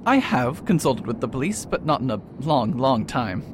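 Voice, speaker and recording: A man's voice: big pitch swings, normal speed, lightly acted. A man playing a proud, aloof old retired detective in a radio play, pompous and hesitant in manner. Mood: reluctant. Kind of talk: amateur radio play acting. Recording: faint rumbling background noise.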